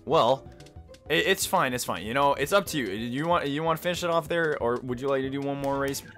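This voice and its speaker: A young man chatting casually through a racing game, halting and often repeating his phrases. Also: mid-range voice, fairly bright tone, soft background music. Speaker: gaming video host. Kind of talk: casual banter while playing a kart racing game.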